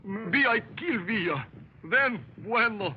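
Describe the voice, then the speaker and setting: Brave Mexican companion, Mexican accent, broken English, very slow, faint hiss, muffled 1930s radio sound. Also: male voice, sits high, expressive. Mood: resolute. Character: loyal wounded Mexican companion, brave and doomed.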